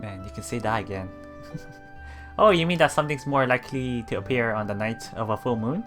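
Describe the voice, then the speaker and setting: A man's voice: medium pitch, a little fast, a bit bright. A man hosting a let's play, reading visual novel text aloud and adding casual, reactive asides. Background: game music.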